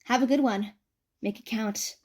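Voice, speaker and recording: A woman voicing a polite, friendly young male student working as a receptionist, with a relaxed manner. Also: fairly high pitch, moderate pace, lightly acted.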